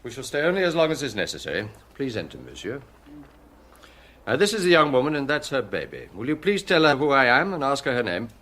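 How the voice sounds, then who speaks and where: Commanding British police chief, swooping delivery. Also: male voice, mid-range voice, big pitch swings, fairly bright tone. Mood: firm, composed. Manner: brisk, insistent, commanding questioning. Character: authoritative male police chief, probing, impatient, menacing interrogator. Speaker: actor in a radio drama. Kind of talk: British radio detective drama acting.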